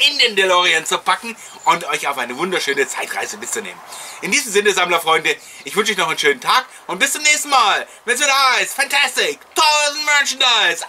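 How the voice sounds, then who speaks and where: A man's voice: sits high, pushed and bright. A middle-aged man presents a retro show for collectors. He speaks straight to his viewers in an effusive, chatty way. There is some noise behind his voice. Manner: effusive, addressing viewers directly. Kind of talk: enthusiastic chatty presenting to camera.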